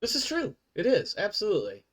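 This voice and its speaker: A man hosts an in-character sports league broadcast in a somewhat high voice. His pitch swings widely as he reads his intros, and he keeps fixing his own slips with quick asides. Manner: animated, self-correcting with quick asides. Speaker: show host. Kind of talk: in-character sports show hosting.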